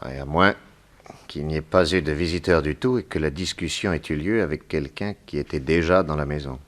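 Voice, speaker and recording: An actor playing a calm, methodical detective in a 1960s French radio drama, probing with deliberate courtesy. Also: male voice, extremely low pitch. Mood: reasoning. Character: calm, methodical male detective questioning a witness.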